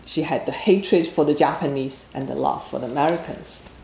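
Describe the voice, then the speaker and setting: Chinese-accented woman, low voice, matter of fact, echoey room. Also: moderate pace, vocal fry, warm tone, slight background noise. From China. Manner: plain, matter-of-fact storytelling. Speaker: author, interview guest. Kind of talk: interview answers.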